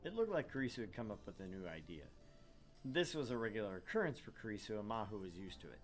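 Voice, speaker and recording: A man's voice: lowish voice, sing-song, fast talker, faint music behind. A man reading a visual novel aloud as narrator, dropping in wry, joking casual banter. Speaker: let's play host narrating a visual novel.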